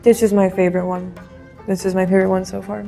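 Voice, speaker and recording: Mellow-voiced American woman. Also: medium pitch, flat delivery, fast talker, creaky, faint music behind. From California, United States. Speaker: reaction video guest. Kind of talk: casual chat.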